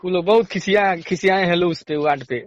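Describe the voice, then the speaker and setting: A man with a Bihari accent chatting casually on the phone as a caller, deferential and quick to agree. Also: high-pitched, muffled recording. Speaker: caller with a grievance.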